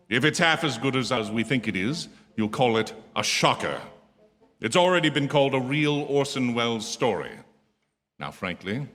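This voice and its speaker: Actor as droll 1940s radio host, echoing hall. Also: male voice, medium pitch, lightly acted. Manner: droll, theatrical, measured oratory. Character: urbane, wry celebrity male radio host introducing thrillers. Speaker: program host. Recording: echoey theatre hall with music underneath.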